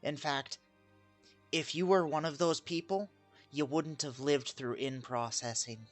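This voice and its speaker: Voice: medium pitch, steady tone, a little slow, faint music behind, lightly acted. A game master voicing a coy male librarian who sweetly calls everyone sweetie. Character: coy, sugary male librarian of a book hoard. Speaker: non-player character.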